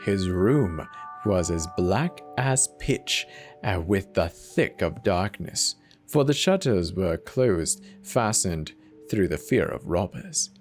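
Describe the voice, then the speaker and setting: A man giving a theatrical, dramatic horror reading, swinging from hushed murmurs to manic highs, with faint music behind him. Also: lively intonation, takes it slowly. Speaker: narrator. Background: faint instrumental music.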